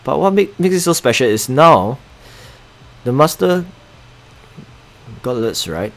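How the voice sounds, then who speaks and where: A man's voice: mid-range voice, sing-song, measured pace, a bit bright. Young singaporean guy streaming a game, chatty, mutters to himself, reads chat out. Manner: chatty, muttering asides, reading chat aloud. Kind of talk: casual live-stream commentary.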